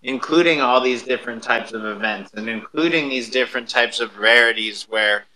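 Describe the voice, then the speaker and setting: A man's voice: mid-range voice, moderate pace, bright, forceful tone, slight background noise, low-quality recording. An American man hosting a casual interview, chatting informally as he asks and explains. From United States. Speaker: host.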